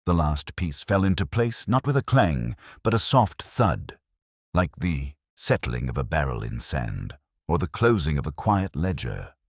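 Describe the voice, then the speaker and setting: Male mystery narrator, very low and breathy, deliberate and hushed delivery. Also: sing-song, no room sound, clean studio sound.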